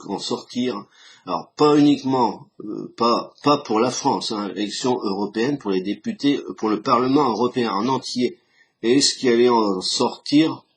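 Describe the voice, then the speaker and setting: Hoarse man with a husky, low voice. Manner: halting, many restarts and fillers. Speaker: tarot reader. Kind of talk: casual tarot reading commentary. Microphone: camera he set up himself.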